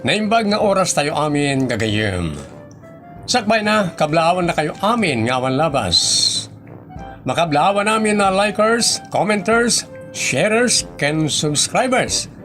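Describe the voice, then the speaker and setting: Male announcer, Filipino Ilocano accent, lively intonation, unhurried scripted intro over music. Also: fairly high pitch. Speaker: announcer and host. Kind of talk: scripted comedy drama introduction.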